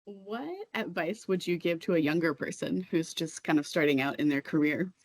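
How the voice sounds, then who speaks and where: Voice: medium pitch, animated, moderate pace, dry recording. A podcast host running a casual interview, agreeable and often affirming the guest.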